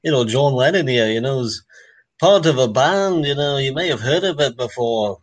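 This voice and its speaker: A man's voice: mid-range voice, lively intonation, moderate pace. Playful man chatting on a video call. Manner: laughing, playful. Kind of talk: casual video call chat.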